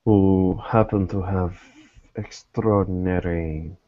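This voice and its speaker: Man playing slow, deep, guarded investigator. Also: fairly even intonation, dry recording. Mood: grave, measured. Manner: slow, halting, deliberate, formal phrasing. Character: weary, guarded male private investigator in secret fellowship. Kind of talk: in-character tabletop roleplay. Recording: dull lo-fi recording.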